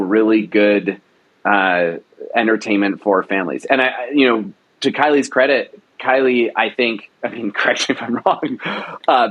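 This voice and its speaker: A man, a producer, speaking earnestly and haltingly in a casual interview. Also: lowish voice, a bit bright. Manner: earnest, halting, full of fillers and restarts.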